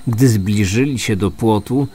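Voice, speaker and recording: A man narrating a scripted true crime story as host, in a measured, serious manner. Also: fairly low pitch, dark tone, husky. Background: music.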